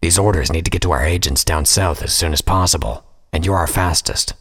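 A man voicing a terse, stern male pony captain in a story, with a gravelly, husky voice. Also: low-pitched, a little fast, fairly bright tone, big-room sound, voice pushed down, full character voice.

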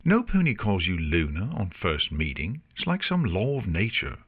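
A man's voice: sits low, wildly swooping pitch, normal speed, slightly rough, heavily acted. A man voicing a brash, teasing young female pegasus paladin with a sarcastic manner for a storybook. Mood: flustered. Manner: cheeky, sarcastic.

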